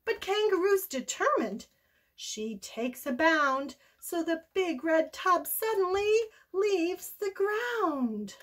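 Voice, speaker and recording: An older woman reading a children's story aloud in an animated, sing-song, expressive way. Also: very high-pitched, slow. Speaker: storybook read-aloud host.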